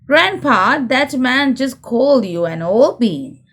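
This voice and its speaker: Woman as a high, inquisitive little girl piglet. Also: sing-song, slow, a bit bright, full character voice. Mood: curious.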